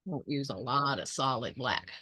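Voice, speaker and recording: A hoarse woman hosting her quilting channel, thinking aloud in casual chat as she sorts scrap fabric and greets viewers by name. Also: extremely low pitch, moderate pace, pushed and bright, no echo.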